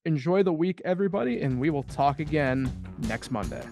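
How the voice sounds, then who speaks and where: A man's voice: fairly high pitch, lively intonation, a little fast, vocal fry, music in the background. A man co-hosting a sports podcast, talking casually and loosely with lots of fillers. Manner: loose and rambling, heavy on fillers.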